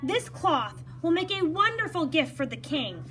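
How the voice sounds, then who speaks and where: A woman's voice: high-pitched, very animated, a little slow, fairly bright tone, faint music behind, voice pushed up, full character voice. A woman voicing a grand, admiring male royal treasurer in a children's folktale, speaking emphatically. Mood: impressed.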